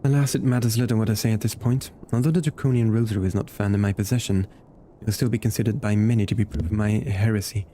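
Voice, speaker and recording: Man reading out earnest formal young lord, mellow voice. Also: lowish voice, steady tone, fast talker, slight background noise, close to an everyday voice. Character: earnest young nobleman accused of heresy.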